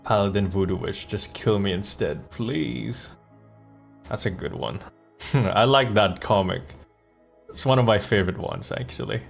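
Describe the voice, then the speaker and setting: A man's voice: on the low side, unhurried, vocal fry. A man chatting casually on a live stream as host, slangy and wry, rambling from one thought to the next. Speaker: streamer and voice actor. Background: faint music.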